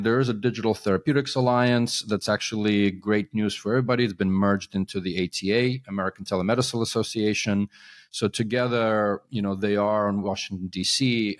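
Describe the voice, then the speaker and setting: A middle-aged man from Ukraine, raised around New York, who explains things at ease as a guest on a podcast. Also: on the low side. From Ukraine, raised in New York and New Jersey. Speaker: guest, company co-founder. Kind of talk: conversational interview.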